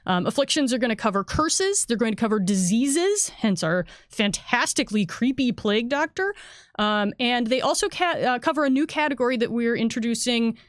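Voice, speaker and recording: A woman's voice: on the high side, expressive, moderate pace, dry recording, clean studio sound. A woman speaking conversationally as a game designer and editor, chattily explaining a product and correcting herself as she goes. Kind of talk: conversational product walkthrough for a livestream.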